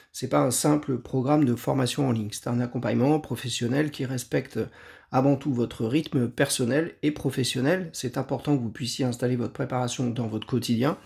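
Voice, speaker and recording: A man, giving a measured, earnest talk as an exam prep coach. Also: mid-range voice, vocal fry, a little mellow. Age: 50s. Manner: measured, earnest, with occasional restarts. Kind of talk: scripted talk to camera.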